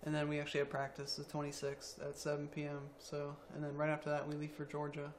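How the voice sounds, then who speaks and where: A young man around twenty, a college student athlete, answering interview questions quietly with many ums. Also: on the high side, monotone, fast talker, slight background noise. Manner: quiet, soft-spoken, hesitant with ums.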